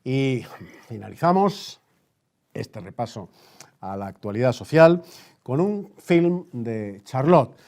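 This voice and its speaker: Spanish guy doing old time radio news bulletin, soft dark voice, halting, repeats stuff for emphasis. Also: mid-range voice, very animated. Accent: Spain. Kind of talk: news bulletin in the manner of a 1930s radio newscast.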